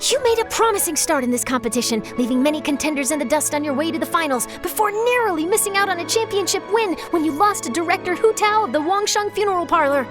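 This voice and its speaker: A woman's voice: extremely high pitch, lively intonation, fast talker, few pauses, over music. A woman playing an eager young newspaper reporter in an anime video game, articulate, polite and inquisitive. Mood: eager, professional.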